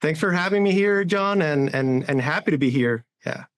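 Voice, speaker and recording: A man's voice: a little high, animated, normal speed. A man in his forties from Honduras, answering interview questions in an earnest way, often restarting and adding "right?". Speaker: sustainability executive guest.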